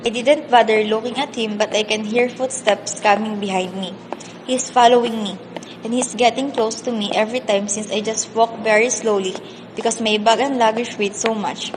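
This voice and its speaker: A weary orphan girl played by a woman narrates a scripted radio drama. Her earnest voice is a bit high and sad. Reverb and faint noise sit around it. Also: fairly even intonation, moderate pace, lightly acted. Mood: sad reflective.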